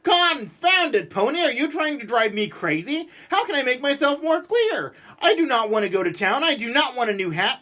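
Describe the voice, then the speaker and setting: Man playing a grumpy stubborn storybook fellow, high voice, wildly varied pitch, emphatic, exasperated, complaining. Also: bright, forceful tone, heavily acted. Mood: exasperated ranting. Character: cranky, grumbling, stubborn man who hates everything. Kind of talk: animated storybook read-aloud.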